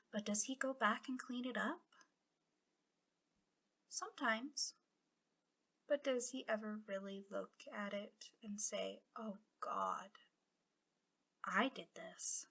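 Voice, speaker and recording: A woman acts out a disillusioned female villain in an ASMR roleplay, guarding her captive. Her voice is a little high and bright, with a creaky edge. She speaks intimately and dramatically, bitter and impassioned, often sinking toward a whisper. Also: full character voice. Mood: scornful, musing.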